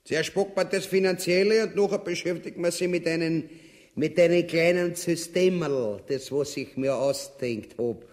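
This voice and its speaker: Radio drama tyrant male actor: Austrian accent, slightly high voice, self-important, blustering, abusive. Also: moderate pace, a little mellow, long reverb tail, close to an everyday voice. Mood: pompous. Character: bullying, pompous tyrant chairing his finance councillors.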